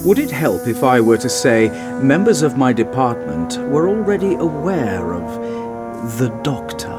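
Formal, probing official, lively intonation. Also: male voice, medium pitch, unhurried. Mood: stern, probing. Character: formal, probing male government interrogator. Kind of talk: audio drama acting. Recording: loud music under drama dialogue.

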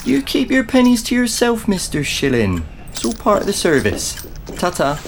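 Chatty young caretaker, noisy backdrop. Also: male voice, high voice, wildly swooping pitch, normal speed, vocal fry, exaggerated voice. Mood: casual, helpful. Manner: slangy, familiar, joking. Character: chatty, cheeky young male castle caretaker. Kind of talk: audio drama acting.

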